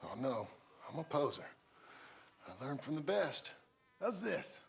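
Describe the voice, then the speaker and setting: An American man playing a gruff, gravelly middle-aged cowboy in a video game, laconic and a little awkward but tender and teasing. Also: mid-range voice, sing-song, a little slow, heavy background noise. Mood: teasing, mock-offended. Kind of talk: video game acting, casual banter.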